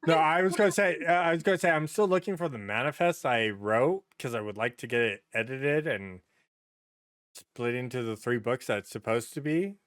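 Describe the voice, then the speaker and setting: A man with a medium-pitched voice hosts a casual podcast. He rambles through stories with excitable energy and loose, sweary phrasing. The sound is dry, with very little room in it. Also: moderate pace, clear, resonant tone, no echo. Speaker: podcast host.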